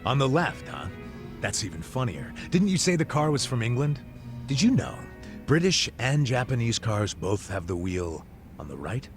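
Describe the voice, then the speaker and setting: Gravelly, expressive middle-aged ex-cop bluffer. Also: male voice, medium pitch, normal speed, music in the background. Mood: firm, confronting. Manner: casual, slangy, blustering. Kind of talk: video game cutscene dialogue.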